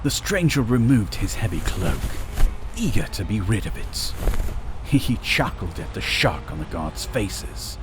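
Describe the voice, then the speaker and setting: Slow, expressive male story narrator. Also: lowish voice, background noise. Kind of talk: scripted fantasy story narration. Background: music.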